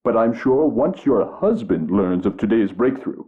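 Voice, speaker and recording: An actor playing a condescending, self-important tutor of the paranormal in a mystery game. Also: male voice, lowish voice, lively intonation, moderate pace, close to an everyday voice. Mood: smug. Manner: pompous, condescending. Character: smug male con-man tutor, middle-aged. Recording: tinny phone-like game audio.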